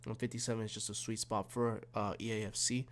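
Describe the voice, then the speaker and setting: Sick, raspy-sounding gaming YouTuber man. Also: lowish voice, level tone, fast talker, a little hiss or hum. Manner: rambling, many fillers and restarts. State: sick, slightly raspy and snuffly. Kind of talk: casual tactics walkthrough.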